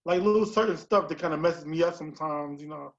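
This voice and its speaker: Chatty higher-voiced man on a call. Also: fast, muffled recording. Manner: chatty, full of "you know" and "bro". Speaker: interview guest. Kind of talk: casual storytelling chat.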